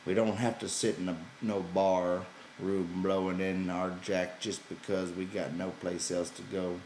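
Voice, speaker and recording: Man acting a gruff, weary slow-talking ranch hand. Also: low-pitched, level tone, darkish tone, roomy sound, slight background noise, rough recording. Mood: rhythmic, reciting. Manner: gruff, exasperated, folksy ungrammatical speech. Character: gruff, weary, irritable male ranch hand looking after companion.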